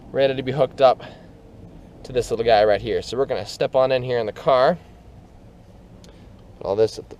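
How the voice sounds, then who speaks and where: A man giving a casual hands-on product demonstration, explaining informally and haltingly, step by step. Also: a little high, darkish tone, slight background noise. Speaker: product reviewer.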